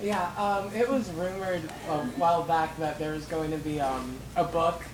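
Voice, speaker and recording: Audience woman, low voice, halting question, echoey room w/ faint noise. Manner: hesitant, halting question with fillers. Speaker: audience member asking a question.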